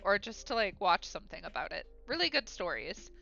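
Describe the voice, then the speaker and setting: Bright-voiced Midwest American woman streaming. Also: mid-range voice, sing-song, a little fast, creaky, highs cut off, faint music behind. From Ohio, USA. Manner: chatty and upbeat, with frequent fillers and giggles. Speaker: illustrator live-streaming her drawing. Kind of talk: casual livestream chat while drawing, reading viewer comments aloud.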